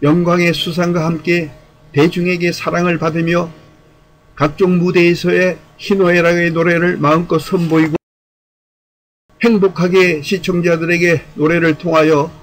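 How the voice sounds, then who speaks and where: A man's voice: a little high, flat delivery, moderate pace, rough recording. A man delivering scripted commentary in a formal, speech-like way, with an earnest, rhetorical, declamatory manner. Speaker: YouTube channel commentator.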